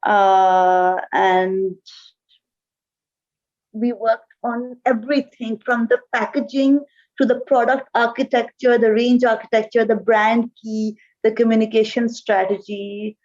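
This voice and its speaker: A woman whose first language is Urdu, a marketing manager answering interview questions thoughtfully, often pausing with "uh". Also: on the high side, steady tone, a little mellow. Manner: thoughtful, with frequent "uh" pauses. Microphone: remote call. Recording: dull, lo-fi call audio.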